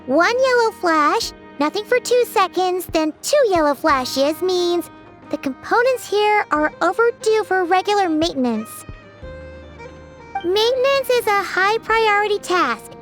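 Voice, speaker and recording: A little sprite companion from a video game, with a tiny, squeaky child's voice pitched extremely high. Her delivery leaps around with lively intonation and exclamations, chirpy but quick to get exasperated. Music plays clearly behind her. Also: exaggerated voice. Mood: focused, reciting. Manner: speaks of herself in third person, exclamatory. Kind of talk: animated video game dub acting.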